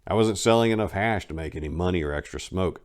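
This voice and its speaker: Deep-voiced man reading his memoir. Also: quick pace, smooth. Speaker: reader of a memoir. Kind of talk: dramatic reading of a first-person book.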